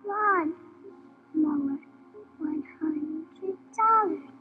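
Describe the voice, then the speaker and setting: A talking checkout machine in an audio drama. The voice is tiny and extremely high, slowly announcing in clipped, emotionless bursts. It sounds tinny and narrow. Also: female voice. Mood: detached, announcing. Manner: clipped, mechanical, detached. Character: impassive talking cash register calling out items and prices. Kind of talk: stylized audio theater announcements. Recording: tinny band-limited sound, faint music.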